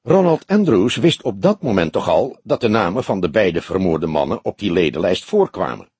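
A man's voice: deep voice, sing-song, normal speed, a little mellow, slightly rough, dry recording, voice pushed down, full character voice. A man voicing an older, grey-haired detective with a laconic, thoughtful way of talking, in an audiobook. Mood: pensive, probing.

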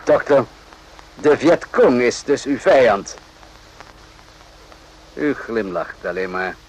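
Radio drama: calm, courteous captain, probing and pointed, middle register. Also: male voice, mid-range voice, a little hiss or hum, rough recording. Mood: insinuating. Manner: measured, pointed, insinuating. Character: courteous but probing male army captain, calm interrogator. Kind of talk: radio play acting.

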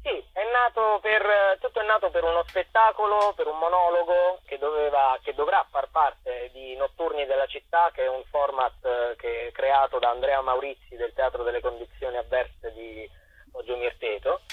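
A man's voice: mid-range voice, steady tone, normal speed. Young man on a tinny phone line. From Chieti, Abruzzo, Italy. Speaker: actor and playwright, interview guest. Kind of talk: conversational interview, explaining at length. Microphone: phone call. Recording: tinny, roomy telephone line on radio.

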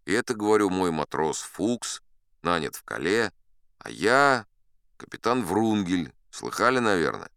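A man's voice: deep voice, sing-song, measured pace, creaky, fairly bright tone, smooth, no echo, clean studio sound, exaggerated voice. A man playing an older sea captain who spins a yarn in a dramatized audiobook, wry, boastful and conversational. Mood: courteous, proud. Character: old sea captain storyteller, folksy, boastful, dignified.

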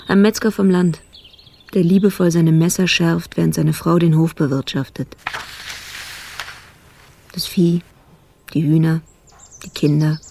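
A world-weary, cynical cop in a radio drama. The voice is dark and mellow with a lot of creak. Delivery is dry, blunt and clipped, with sardonic impatience. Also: male voice, a little high, slight background noise.

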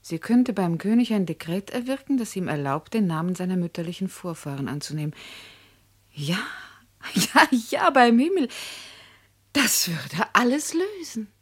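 Shrewd, scheming lady of the nobility, theatrical and pointed, very animated pitch, radio drama. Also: moderate pace, slightly rough. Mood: elated. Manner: theatrical, pointed, aristocratic diction. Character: haughty, worldly provincial noblewoman, shrewd and scheming. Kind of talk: German radio drama acting.

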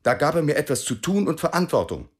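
Proud East German cycling champion, radio drama, defensive and emphatic, widely swinging intonation. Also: male voice, fairly high pitch, sing-song, fast, slightly rough. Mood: earnest, defensive. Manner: emphatic, self-justifying, forcedly patient.

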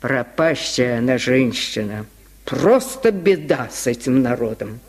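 A man's voice: mid-range voice, lively intonation, unhurried, husky, pitched below the performer's own voice. A man voicing a stern, condescending town mayor with a preachy, disapproving manner, in an old radio story recording. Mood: scolding, pitying. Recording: 1950s radio recording, long reverb.

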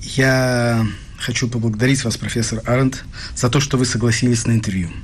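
A polite male interviewer, played by an actor in a radio play, mid-pitched and deferential. He sounds eager yet slightly hesitant, with faint ambient noise around him. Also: steady tone, brisk, natural voice. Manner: courteous, hesitant.

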